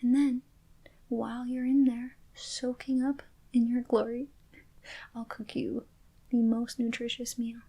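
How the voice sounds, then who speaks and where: A woman speaking in a soft, gentle whisper, reassuring a listener in a comforting ASMR roleplay. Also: a little high, level tone, a little slow.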